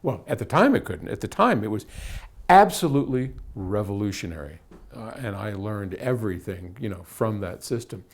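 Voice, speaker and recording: A man's voice: fairly low pitch, expressive, moderate pace, creaky, slightly rough. An older American man talking casually as a host answering viewer questions, explaining technical detail step by step in a conversational way.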